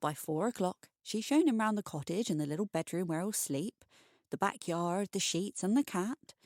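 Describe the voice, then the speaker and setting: A woman narrating a scripted bedtime short story for adults, expressive and wry. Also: fairly low pitch, moderate pace, no echo, clean studio sound.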